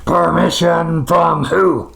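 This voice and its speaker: A man voicing a huge, tyrannical demon lord with a booming, imperious, quick-tempered delivery for a storybook. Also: vocal fry, a bit bright, full character voice. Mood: bellowing demand. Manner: slow, imperious drawn-out commands.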